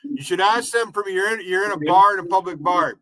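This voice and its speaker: A man's voice: sits high, brisk, pushed and bright, dry recording, low-quality recording. A man hosting a live stream panel, talking firmly and lecturing a guest on etiquette. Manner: firm, scolding about etiquette. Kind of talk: casual live stream chat.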